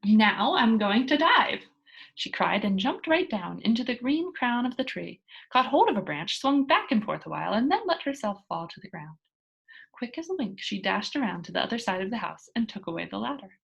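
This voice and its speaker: Animated, slightly husky woman reading aloud. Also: medium pitch, a little fast, muffled recording. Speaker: children's book reader. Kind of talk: expressive read-aloud storytelling.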